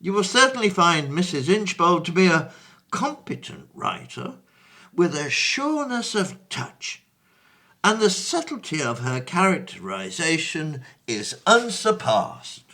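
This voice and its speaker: An actor playing a distinguished, bookish gentleman of the 1820s who hesitates often and speaks in a grand, fussy way. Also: sits high, sing-song, a little slow, exaggerated voice. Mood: courteous. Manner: hesitant, full of ums and uhs, fussy and grand. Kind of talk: amateur stage play audio.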